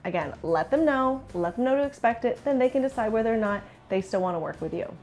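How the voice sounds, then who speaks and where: A woman's voice: fairly high pitch, animated, fast, darkish tone, soft background music. A woman with a Canadian accent giving informal instructional advice to viewers as a business coach, chatty and candid. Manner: chatty, candid, acts out client remarks. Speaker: business coach for interior designers. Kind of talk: informal instructional video to camera.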